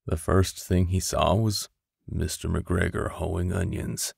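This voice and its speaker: Male storybook narrator, expressive, theatrical, very slow delivery, creaky low register, close studio mic. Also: dry recording. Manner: theatrical, with whispers and sound-effect voices. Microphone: close studio condenser microphone.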